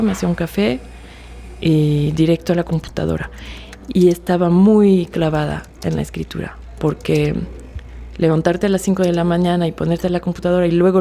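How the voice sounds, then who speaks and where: Woman, 40s, French native speaker, mellow gravelly tone, hesitant reflective testimony. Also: fairly low pitch, a little hiss or hum. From France. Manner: soft-spoken, halting with fillers and restarts. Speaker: author interviewee.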